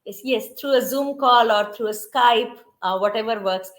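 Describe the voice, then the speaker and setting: A middle-aged woman from India with an Indian accent, telling about her family's festivals in an interview and slowing down to explain words. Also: on the high side. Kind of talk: conversational storytelling.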